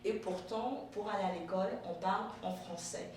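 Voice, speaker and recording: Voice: mid-range voice, steady tone, normal speed. An interviewer asking questions politely, often hesitating with fillers. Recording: echoey room, mic far off, clear noise.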